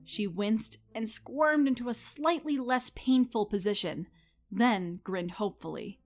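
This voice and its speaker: A woman giving a scripted, expressive and deliberate dramatic narration of a story. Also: fairly high pitch.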